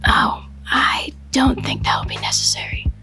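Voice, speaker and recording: A woman playing a coolly polite, menacing crime mastermind in a breathy whisper for a radio drama. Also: over music, lightly acted. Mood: mocking menace. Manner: slow, mocking courtesy. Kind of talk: radio drama villain acting.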